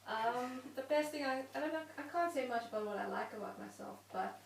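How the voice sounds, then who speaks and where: A woman's voice: high voice, lively intonation, a little fast. A woman from South Africa introducing herself hesitantly at a workshop. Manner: hesitant, self-effacing. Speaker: workshop participant. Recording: echoey room from far off, faint noise.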